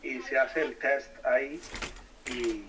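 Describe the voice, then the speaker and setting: A man's voice: mid-range voice, fairly even intonation, unhurried, far from the mic, noisy background. A man with Spanish as his native language, talking casually as a bilingual co-host on a live stream. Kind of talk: casual live-stream discussion, switching between English and Spanish.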